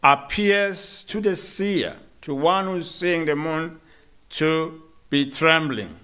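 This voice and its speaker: A man from South Africa giving a morning sermon in a temple, slow and measured, with hesitant restarts and gentle humor. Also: on the high side, clean tone. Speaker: monk giving a morning lecture. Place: temple hall.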